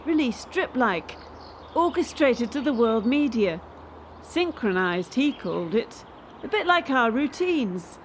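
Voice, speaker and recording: A woman's voice: sits high, slight background noise, full character voice. A young woman playing a defiant gymnast in a radio play, talking in a frank, casual, resolute way. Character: defiant young female gymnast quitting the sport.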